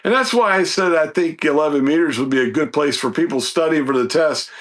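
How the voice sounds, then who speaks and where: A man with a fairly high, very bright and forceful voice. He chats casually like a podcast guest, rambling through anecdotes in a folksy, animated way. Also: brisk. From United States, Southeastern Virginia. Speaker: podcast guest, radio hobbyist. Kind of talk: casual chat, anecdotes about a hobby.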